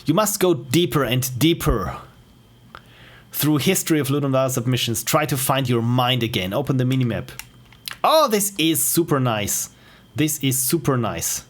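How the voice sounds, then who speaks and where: A man's voice: medium pitch, animated, normal speed. A man streaming and playing indie games, reading the game text aloud and eagerly repeating his praise.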